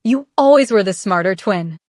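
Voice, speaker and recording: A woman voicing a cold, scheming old mafia uncle in a smooth, icy manner, as part of a read-aloud story. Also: mid-range voice, lively intonation, moderate pace, a little mellow, no room sound, studio quality, natural voice. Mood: menacing.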